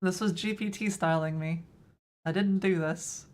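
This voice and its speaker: A woman's voice: fairly low pitch, fairly even intonation, a little slow, darkish tone. A woman from Texas with a Texan accent, chatting casually with her live chat while she codes. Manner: chatty, answers chat questions, self-deprecating. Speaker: coding streamer.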